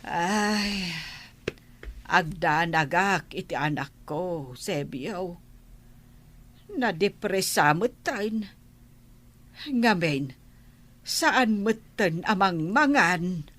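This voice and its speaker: A woman's voice: on the low side, animated, very slow, pauses often, fairly bright tone, exaggerated voice. A woman playing a worried, nagging village mother in a radio drama, hesitant and pleading. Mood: troubled. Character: worried, nagging village mother fretting over her child. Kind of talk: Ilocano radio drama acting.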